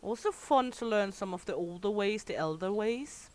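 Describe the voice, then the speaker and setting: A woman's voice: medium pitch, lively intonation, moderate pace, darkish tone. Danish woman chatting on a stream. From Denmark. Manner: chatty, candid, reads and answers chat aloud. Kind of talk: casual livestream chat while gaming.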